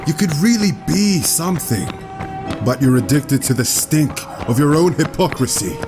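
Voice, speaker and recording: A man's voice: fairly high pitch, animated, moderate pace. A man voicing a theatrical, mocking male villain in a comic dub, sarcastic and teasing over music. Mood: mocking, exasperated. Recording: loud music behind the voice.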